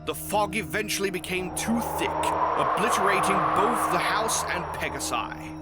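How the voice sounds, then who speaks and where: A male narrator reads a scripted story. His voice sits a little high for a man, and music plays clearly behind him. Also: animated, normal speed.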